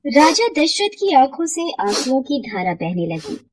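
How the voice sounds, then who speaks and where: Expressive woman narrating, fairly high voice. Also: a little slow, husky. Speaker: storyteller. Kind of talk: scripted devotional story narration.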